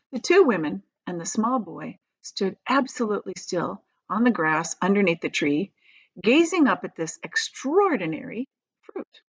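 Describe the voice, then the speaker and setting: A woman reading a children's story aloud as a teacher would, with expressive narration. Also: mid-range voice, slightly rough, no room sound.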